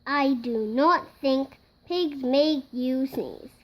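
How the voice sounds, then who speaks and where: A five-year-old child reading a picture book aloud in a halting, word-by-word way, acting out the parts. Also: high voice, dull audio. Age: child, five years old. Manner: slow, halting word-by-word reading with acted-out sneezes.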